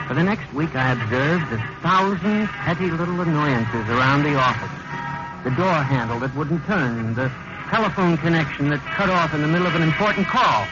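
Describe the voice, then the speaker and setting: A man's voice: on the high side, lightly acted. An American actor playing a haunted, obsessive office computer man, narrating his own story in melodramatic 1950s radio drama style. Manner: melodramatic, portentous delivery. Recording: muffled vintage 1950s radio recording. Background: dramatic music.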